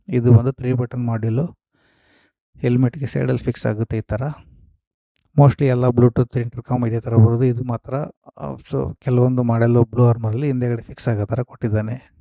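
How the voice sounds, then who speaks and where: A man presents gear in a casual, explanatory way. His voice is mid-pitched, deeply mellow and gravelly at the edges. He goes through things step by step, mixing in English terms. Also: no echo. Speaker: host of a gear unboxing and review video. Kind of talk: casual product walkthrough.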